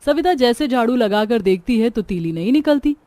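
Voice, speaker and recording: A woman's voice: a little high, animated, fairly quick, warm tone. A woman narrating a scripted cartoon story.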